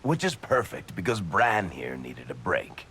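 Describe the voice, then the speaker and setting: A man voicing a wisecracking, big-hearted dwarf rogue in a video game, with a gravelly voice and a casual, irreverent manner. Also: sing-song, a little slow, pushed and bright, a little hiss or hum, heavily acted. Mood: wry, breezy. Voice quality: low gravelly voice. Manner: wry, casual, breezily irreverent.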